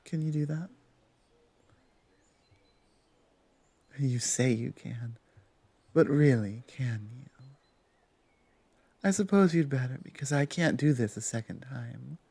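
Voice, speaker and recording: A woman playing a big, strong female minotaur guard captain in an ASMR roleplay, gruff but protective, firm and teasing, sometimes dropping to a hush. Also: very deep voice, a little slow, dry recording, pitched below the performer's own voice, exaggerated voice. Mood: stern, protective.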